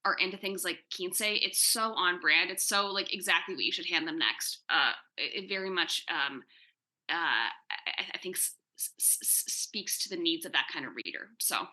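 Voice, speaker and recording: A woman's voice: fairly low pitch, normal speed, pushed and bright. A woman chatting casually as an interview guest, enthusiastic and rambling, peppering her talk with "like". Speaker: comics writer and TV writer-producer, interview guest. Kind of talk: casual Q&A chat.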